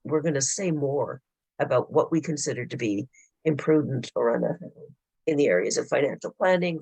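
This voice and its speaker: Hoarse, gravelly man explaining governance. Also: on the high side, dry recording, rough recording. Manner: explanatory, halting with frequent hesitations. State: hoarse, losing his voice. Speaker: board governance presenter. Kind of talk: formal presentation at a board meeting. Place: public board meeting room.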